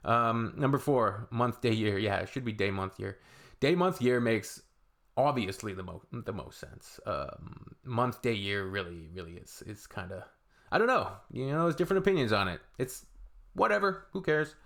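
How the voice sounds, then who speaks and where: Male reaction host, laid-back and rambling, average pitch, unscripted commentary. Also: expressive, moderate pace, vocal fry, fairly bright tone. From Canada. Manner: rambling, offhand, shrugging asides.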